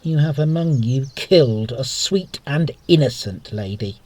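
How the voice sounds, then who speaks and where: An actor playing a proud, stern gentleman soldier in a Shakespearean radio play, speaking gravely and formally. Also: mid-range voice, lively intonation, a little slow. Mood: cold resolute. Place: home recording.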